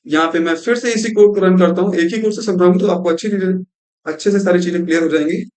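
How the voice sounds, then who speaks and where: High-voiced young man teaching code. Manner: explanatory, repeats "thik hai" and mixes in English terms. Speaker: programming tutor. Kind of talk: screen-recorded coding lesson.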